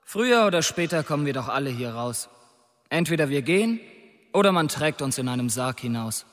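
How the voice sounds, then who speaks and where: A bookish young male convict in a radio drama. His voice is on the high side, cold and detached, with a pedantic edge, echoing through a prison corridor. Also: animated, fairly quick, fairly bright tone. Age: young adult. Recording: long echo of a prison corridor.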